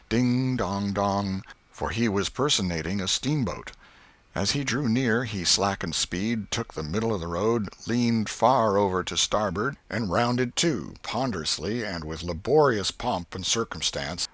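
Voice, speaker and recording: Low-voiced man narrating a classic story. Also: fairly bright tone, no echo. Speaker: audiobook narrator.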